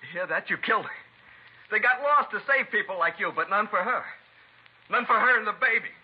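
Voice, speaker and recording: An actor playing a bitter, grieving American husband in a 1950s radio drama, intense, pleading and accusing. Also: male voice, high-pitched, animated, fairly quick, slightly rough. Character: grieving, bitter widowed husband confronting the killer. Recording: muffled vintage radio recording, faint noise.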